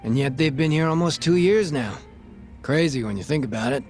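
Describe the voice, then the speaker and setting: An actor playing a sly, shameless crooked cop who talks in a casual, glib way in a video game scene. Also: male voice, fairly high pitch, lively intonation, a little fast, faint music behind, close to an everyday voice. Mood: knowing. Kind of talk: video game cutscene acting.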